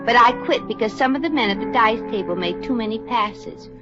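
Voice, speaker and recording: Actress as sassy Brooklyn gal, brassy wisecracks, muffled old radio, music bed. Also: female voice, on the high side, fairly quick, natural voice. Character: wisecracking Brooklyn working girl. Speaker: lead and narrator. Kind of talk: 1950s radio comedy acting.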